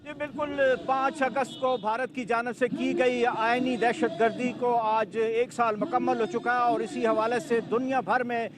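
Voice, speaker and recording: A man's voice: extremely high pitch, level tone, measured pace, few pauses. A man with a Pakistani Urdu accent reporting live from a protest, formal with hesitant fillers. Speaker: field reporter. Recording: outdoor crowd noise. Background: crowd.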